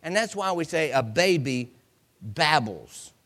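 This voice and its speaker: High-pitched, animated American male preacher. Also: a little slow. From United States. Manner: folksy, acts out examples and quotes; repeats phrases for emphasis. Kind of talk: informal Bible teaching sermon.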